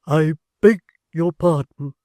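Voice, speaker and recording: Guy reading aloud as a flustered young suitor who keeps stammering, higher than his own voice, odd timbre. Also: takes it slowly, warm tone, no room sound. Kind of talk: storybook reading.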